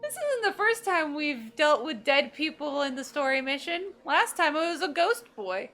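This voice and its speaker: High-voiced woman gaming streamer, joking. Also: big pitch swings, normal speed, a bit bright. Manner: joking, wry. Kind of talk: casual game commentary. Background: game music.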